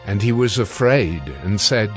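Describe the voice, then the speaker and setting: A man narrating scripture from a script in a measured, formal way. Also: on the low side, faint music behind.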